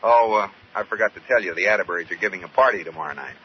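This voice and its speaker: An actor playing a wry, teasing, mildly exasperated young husband in a 1940s radio sitcom. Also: male voice, low voice, very fast, close to an everyday voice. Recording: muffled, noisy 1940s radio recording.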